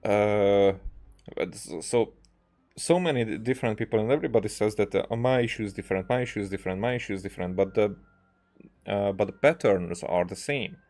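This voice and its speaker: Halting low-voiced man doing game commentary. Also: a little mellow. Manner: halting, searching for words, rambling. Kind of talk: casual let's-play commentary. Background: faint game music.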